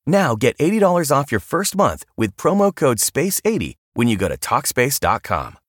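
A man's voice: mid-range voice, sing-song, normal speed, no room sound, clean studio sound. A man reading a scripted advertisement as an announcer. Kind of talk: scripted ad read.

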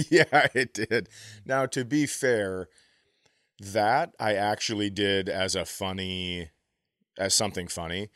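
A man's voice: on the low side, takes it slowly, fairly bright tone, no room sound, clean studio sound. An American man hosting a comedy podcast, telling casual stories with a profane, exasperated ranting streak. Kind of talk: casual comedic chat and storytelling.

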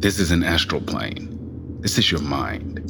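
A man playing a calm, cryptic cosmic guide in an audio drama, speaking in a measured, solemn, commanding way. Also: very deep voice, gravelly, noisy background, full character voice. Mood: solemn, instructing. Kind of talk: scripted audio drama acting.

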